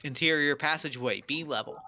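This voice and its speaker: A man's voice: a little high, a little fast, pushed and bright. A man narrating stage directions at a script table read in terse, clipped, dramatic phrases.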